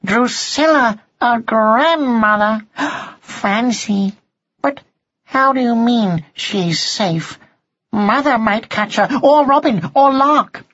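A man voicing an eager, earnest and excitable young male cat in a children's storybook. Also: extremely high pitch, slow pace, slightly rough, dry recording, muffled recording, voice pushed up, full character voice. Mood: curious, worried.

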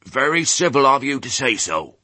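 A man voicing a mournful, grumbling old ewe with a husky voice and a West Country accent, in a storybook. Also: medium pitch, sing-song, moderate pace, sharp tone, no room sound, voice pushed up. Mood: pleased. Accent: English West Country.

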